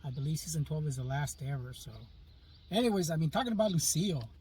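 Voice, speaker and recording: A man's voice: a little high, lively intonation, fast talker, a little mellow, slightly rough. A man co-hosting a casual podcast, rambling and repeating himself, with corny dad jokes, sitting outdoors in an open-top Jeep. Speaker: podcast co-host. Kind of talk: casual chat. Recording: faint noise, distant from mic.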